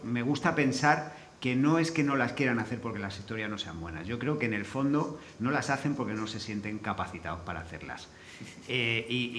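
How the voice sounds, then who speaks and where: A man's voice: mid-range voice, fairly bright tone. A man with a Spanish accent from Spain talks on a discussion panel in a colloquial, blunt way, without a script. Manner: colloquial, blunt, mild swearing. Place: live forum venue. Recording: echoey room, faint background noise.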